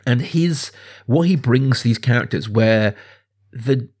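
A man in his thirties chats about film as a podcast host. His voice sits at an average pitch with some huskiness. He is enthusiastic and emphatic, often restating a point to build it up. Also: a little slow, darkish tone. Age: 30s. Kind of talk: casual film discussion.

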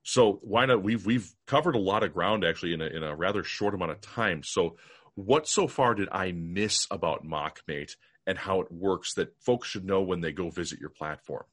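A man's voice: low-pitched, moderate pace, a bit bright, breathy edge. A man with an American accent hosting an interview in a casual, wordy and enthusiastic way. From United States. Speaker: podcast host.

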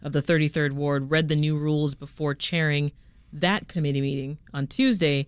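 A radio host with a crisp, smooth voice running a news panel and briskly moving from one item to the next. The sound is close and dry. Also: medium pitch, fairly even intonation, dry recording. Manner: brisk, steers the conversation. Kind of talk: hosting a news roundtable.

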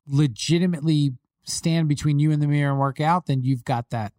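A man with a fairly high voice co-hosts a casual sports chat, and he tells his stories animatedly, stopping and restarting as he goes. The sound is close and dry. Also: a little fast, no echo. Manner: animated storytelling with many restarts. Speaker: podcast co-host, rules expert.

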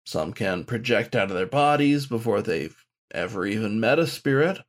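A man's voice: on the low side, lively intonation, normal speed, lightly acted. A man voicing a frank badger shaman who mentors in a blunt, informal, explanatory way. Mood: explaining.

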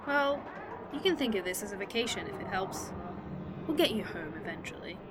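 A woman's voice: medium pitch, expressive, brisk, very noisy, natural voice. A woman playing a wry, protective time-traveling mechanic in an audio drama.

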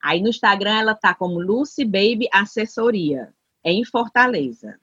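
Woman, husky voice, dry muffled lo-fi mic, animated storyteller. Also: medium pitch, moderate pace, no echo. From Brazil. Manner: lively anecdote-telling, acts out family members. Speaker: podcast co-host. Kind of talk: casual chat.

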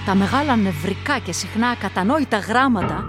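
A woman playing the narrator of a dark occult radio play, measured and suspenseful, with music in the background. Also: medium pitch, expressive, lightly acted.

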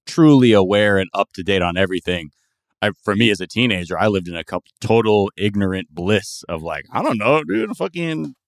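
Low-voiced man, laid-back and profane. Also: animated, a little fast, no room sound, clean studio sound. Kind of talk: casual comedic chat.

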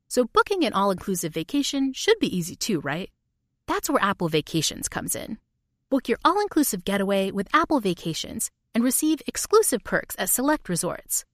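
A woman's voice: on the high side, dry recording, clean studio sound. A woman announcer reading a scripted commercial in an upbeat, promotional way. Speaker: advertisement announcer.

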